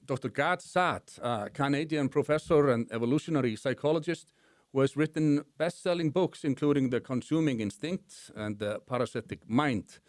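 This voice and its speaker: A man's voice: mid-range voice, moderate pace, dry recording, studio quality. A man with a thick Icelandic accent hosting an interview, reading a formal introduction and asking questions with frequent hesitations. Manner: hesitant, many "uh" fillers. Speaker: podcast host.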